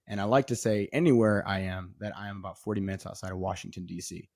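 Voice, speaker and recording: A man's voice: deep voice, fairly even intonation, fast talker, darkish tone, no echo, studio quality. A man in his twenties from Maryland with an American accent, a podcast guest and minister chatting casually with frequent restarts. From Maryland, USA. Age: 20s. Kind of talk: casual interview chat.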